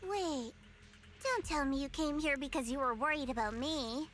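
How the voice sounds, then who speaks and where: High-pitched, proud young investigator. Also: female voice, moderate pace, a bit bright, a little hiss or hum. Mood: aloof, smug. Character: proud, cool-headed young female investigator. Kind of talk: anime game voice acting.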